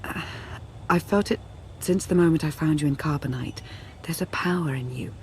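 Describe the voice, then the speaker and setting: A woman playing a solemn, perceptive adviser in a video game, speaking in a hushed, deliberate way. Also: deep voice, a little hiss or hum, natural voice, low-quality recording. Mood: intense, searching. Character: solemn, perceptive female adviser, quietly intense.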